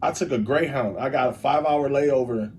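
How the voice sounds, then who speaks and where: A young man with a Chicago accent, a rapper and engineer, telling stories in a rambling, animated way as an interview guest. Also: mid-range voice, brisk. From Chicago, USA. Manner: rambling, animated storytelling with lots of "like". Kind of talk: casual storytelling interview.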